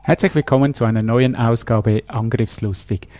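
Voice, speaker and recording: A man hosting a security podcast, asking short, brisk questions. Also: mid-range voice, fairly even intonation, over music. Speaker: podcast host. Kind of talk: interview hosting.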